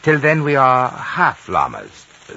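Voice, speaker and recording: An actor playing a courteous, enigmatic elderly Chinese lamasery guide with formal, deliberate speech in a 1950s radio drama. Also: male voice, medium pitch, huge pitch swings, creaky, bright, forceful tone, exaggerated voice. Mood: serene, gently probing. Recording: dull, noisy 1950s radio recording.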